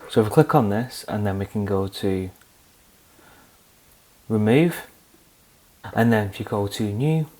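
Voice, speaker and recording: A young man hosting a screen-recorded software tutorial, calmly walking through each click step by step. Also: lowish voice, a little slow, pauses often. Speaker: tutorial host.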